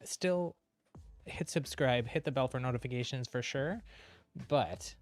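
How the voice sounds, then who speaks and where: A man's voice: medium pitch, measured pace, no echo, soft background music. A man hosting a livestream, chatting casually to viewers in a rambling, giggly way. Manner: rambling, frequent giggles mid-sentence. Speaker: live streamer and channel host.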